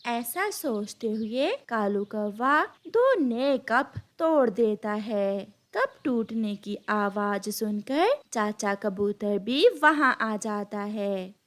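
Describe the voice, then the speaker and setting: A woman's voice: medium pitch, expressive, slow pace, few pauses. A woman narrating a scripted children's cartoon story.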